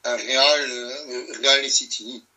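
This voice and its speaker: Male co-host, very bright tone, casual and matter-of-fact. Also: medium pitch, fairly even intonation, slow pace, low-quality recording. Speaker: podcast co-host. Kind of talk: casual football chat.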